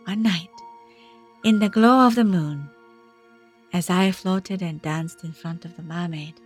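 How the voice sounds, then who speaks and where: An actress playing a wistful woman diver who recounts haunting memories in a measured, hushed, intimate audio drama monologue. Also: mid-range voice, slightly rough. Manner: measured, hushed, reflective storytelling.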